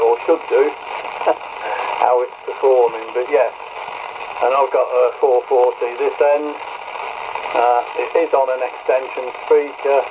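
Man on a dull, noisy recording. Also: medium pitch. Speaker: fellow CB radio operator. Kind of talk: casual radio test conversation. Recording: dull lo-fi sound, loud noise.

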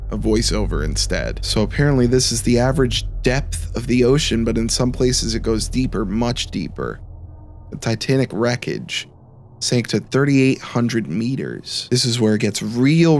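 A man's voice: medium pitch, slight background noise. A man in his thirties giving casual, conversational commentary to his viewers as a gaming YouTuber. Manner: informal, conversational. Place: small indoor room, seated at a desk. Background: music.

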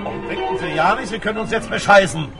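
Creaky, blustering crook. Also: male voice, sits high, quick pace, over loud music. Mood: angry, threatening. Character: rough, hot-tempered crook demanding money, adult man.

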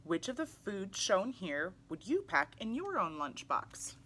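A woman reading a picture book aloud to young children in an animated, exclamatory way. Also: medium pitch, measured pace, a little hiss or hum, low-quality recording. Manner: animated, exclamatory, with audible gasps. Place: small indoor room, seated in armchair.

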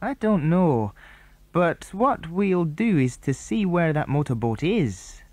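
A man's voice: on the high side, very animated, slow, a little mellow, no echo, pitched above the performer's own voice, heavily acted. A man reading aloud and voicing a brave, thoughtful young boy who leads the others, in an eager, earnest way.

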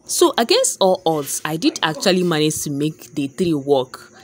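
A woman's voice: low-pitched, big pitch swings, normal speed. A young woman with a Nigerian accent, chatting casually to a close camera in a small room, laughing often and teasing her own doubts. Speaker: vlogger. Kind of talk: casual hair vlog. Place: small room indoors, rain outside. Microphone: camera close, at arm's length. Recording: echoey room with background music.

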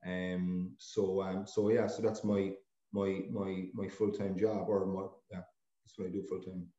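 Low flat-voiced Cork man, echoey. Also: unhurried. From Ireland. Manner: rambling, many fillers. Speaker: guest. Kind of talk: casual interview. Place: office room. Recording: echoey lo-fi room.

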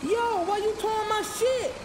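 A woman's voice: extremely high pitch, moderate pace, background noise, pitched above the performer's own voice. A woman voicing a restless, streetwise young man in an audiobook, slangy and urgent. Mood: outraged. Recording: music bed under the voice.

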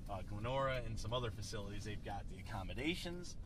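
A man's voice: lowish voice, expressive, quick pace. Chatty man narrating a drive. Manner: chatty, folksy, laughs easily. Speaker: tour host and driver. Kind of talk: casual narrated driving tour. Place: inside a moving car. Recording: car road noise.

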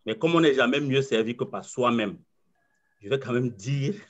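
A man's voice: mid-range voice, lively intonation, normal speed. Earnest self-assured man on a video call. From Cameroon. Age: 40s. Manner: earnest, self-assured, repeats words while thinking. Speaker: coach and trainer. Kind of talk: webinar presentation. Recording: slightly muffled video call audio.

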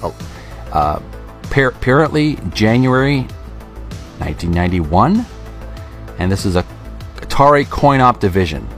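Low-voiced man reading aloud haltingly. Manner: halting, deliberate delivery. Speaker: video host. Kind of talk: reading aloud from an art book with casual commentary.

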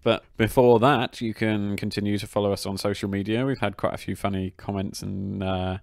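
Lower-voiced chatty podcast man. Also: level tone, a little fast, vocal fry, dry recording, clean studio sound. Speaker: podcast co-host. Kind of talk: casual history podcast chat.

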